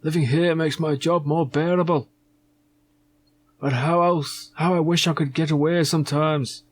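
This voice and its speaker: A man's voice: a little high, fairly even intonation, a little slow, voice pushed up. A man voicing a pompous, dismissive manager in an adventure game, haughty and grumbling.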